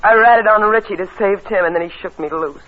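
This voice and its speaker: An actress playing a despairing, high-strung dame, bleak and pleading, in a 1940s radio melodrama. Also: female voice, a little fast, lightly acted. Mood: bitter, hopeless. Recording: tinny vintage radio recording, faint noise.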